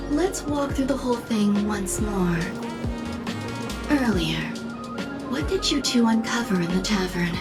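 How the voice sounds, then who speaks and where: Game role: actress as poised female sleuth, unhurried and questioning, mid-range voice over loud music. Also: mid-range voice, close to an everyday voice. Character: shrewd, composed, probing female investigator.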